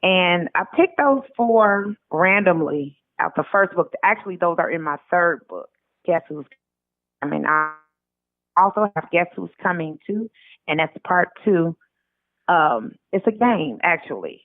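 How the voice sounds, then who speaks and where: A woman's voice: on the low side, lively intonation, measured pace, no echo. A woman author speaking informally as a guest, recorded in dull lo-fi audio with music behind her. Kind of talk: reading aloud and informal interview talk.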